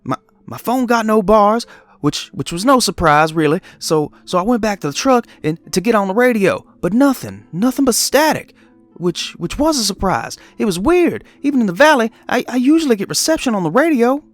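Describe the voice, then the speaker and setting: Man acting a distraught, stammering trucker, pitch swinging wildly. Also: big pitch swings, moderate pace, no room sound, soft background music. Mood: nervous, bewildered. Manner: stammering, repeating words, pleading. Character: distraught guilt-ridden male trucker confessing a fatal accident. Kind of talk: dramatic horror story monologue.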